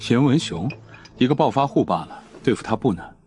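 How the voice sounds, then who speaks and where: A man's voice: medium pitch, animated, brisk, darkish tone, slight background noise, close to an everyday voice. A man playing a suave, sardonic wealthy boss in scripted drama, dry and needling. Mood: dismissive.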